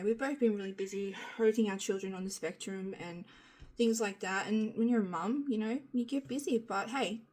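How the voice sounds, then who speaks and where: A middle-aged woman with a husky, slightly nasal voice, hoarse from being sick, chatting frankly and rambling in a casual vlog filmed on a handheld phone. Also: mid-range voice, a little mellow. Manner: rambling, frank, self-deprecating, swears casually. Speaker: vlogger. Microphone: handheld phone camera.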